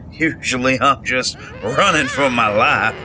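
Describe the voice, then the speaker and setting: An actor playing a kindly older country doctor with a folksy manner in an audio drama. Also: male voice, medium pitch, background noise. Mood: cheerful. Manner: folksy, drawling endearments.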